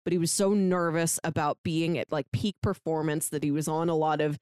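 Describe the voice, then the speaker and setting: A woman's voice: low-pitched, fairly even intonation, a little fast, darkish tone, smooth, dry recording, clean studio sound. A woman telling a story informally in a casual podcast chat, often dropping in "like". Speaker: podcast speaker discussing books.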